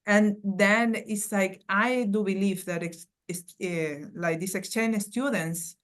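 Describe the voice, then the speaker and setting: A woman's voice: medium pitch, steady tone, slow. A woman from Venezuela with a Venezuelan Spanish accent, a guest academic answering earnestly on a panel and searching for words.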